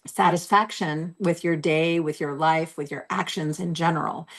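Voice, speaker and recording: A woman in her forties, slightly hoarse, giving an earnest, encouraging self-help talk to camera from a room at home, repeating her key phrases. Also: low voice. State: losing her voice. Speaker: host.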